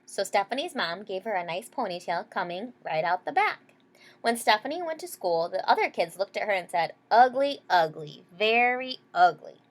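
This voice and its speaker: A woman's voice: mid-range voice, lively intonation, brisk. A woman reading a children's picture book aloud expressively, with explanatory asides to young listeners. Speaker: storybook reader.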